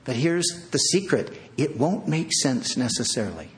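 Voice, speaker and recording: A man's voice: medium pitch, lively intonation, moderate pace. Earnest man preaching a sermon. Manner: earnest, pleading, repeats phrases and restarts. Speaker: pastor. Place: church hall with an audience.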